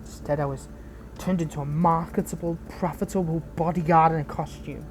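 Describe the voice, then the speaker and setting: A woman's voice: sits low, fairly even intonation, fairly quick, darkish tone, slight background noise, natural voice. A woman playing a betrayed former hero turned villain in an audio roleplay, speaking earnestly and intimately, with idealism and bitterness. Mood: bitter, frustrated. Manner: earnest, impassioned, intimate address to a partner. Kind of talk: dramatic audio roleplay monologue.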